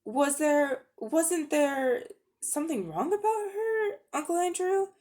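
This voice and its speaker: Woman playing earnest, high-pitched indignant schoolboy. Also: animated, takes it slowly, darkish tone. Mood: curious, uneasy. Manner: questioning, indignant, plain-spoken.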